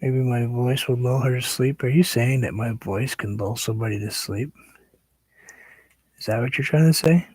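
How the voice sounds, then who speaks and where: A man hosting a painting livestream, chatting casually and relaxed with viewers, sometimes dropping to a soft whisper as he works. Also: medium pitch, normal speed, slightly rough, no room sound. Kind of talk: casual chat while painting.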